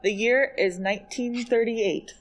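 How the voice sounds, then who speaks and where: A woman's voice: fairly high pitch, fairly even intonation, unhurried, rough recording. Woman as an earnest, declaiming amateur playwright. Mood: self-important. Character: earnest amateur playwright reading out her scene. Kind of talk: audio drama acting.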